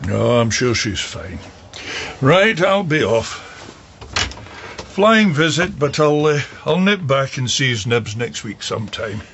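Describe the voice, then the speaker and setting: An actor playing a breezy, evasive acquaintance in a naturalistic audio drama, brisk, colloquial and deflecting. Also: male voice, medium pitch, very animated, vocal fry, a bit bright, heavily acted. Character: breezy, evasive male family acquaintance, dismissive. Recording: dull muffled audio, faint noise.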